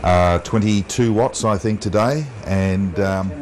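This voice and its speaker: An Australian man presenting an unscripted amateur TV walk-through, halting often with ums and uhs. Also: low voice. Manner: halting, with long um and uh pauses. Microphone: wireless mic pack. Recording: noisy amateur TV transmission audio.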